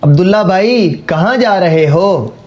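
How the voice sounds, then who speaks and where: A man's voice: high voice, lively intonation, a little slow, darkish tone, lo-fi sound. A man voicing a friendly, concerned village neighbour in a cartoon story.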